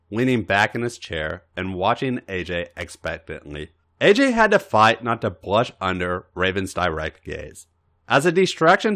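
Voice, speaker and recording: A man's voice: sits low, fairly bright tone. A man narrating a story as a podcast host, reading it out dramatically.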